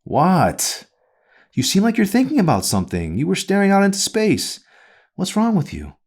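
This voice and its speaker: A man's voice: high-pitched, huge pitch swings, moderate pace, darkish tone, husky, pitched above the performer's own voice, exaggerated voice. A man voicing an anxious, critical mother in an audiobook, fretful and prying in manner. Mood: concerned, prodding. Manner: fretful, prying, over-earnest. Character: Amy, anxious, critical, prodding mother of a teenage girl.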